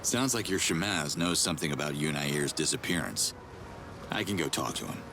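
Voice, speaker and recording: An actor playing a brash, wisecracking hero in a video game, talking in a casual, sarcastic and cocky way. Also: male voice, rumbling low, animated, normal speed, vocal fry, background noise, heavily acted. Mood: wry. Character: wisecracking human man, brash heroic outsider. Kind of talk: video game cutscene acting.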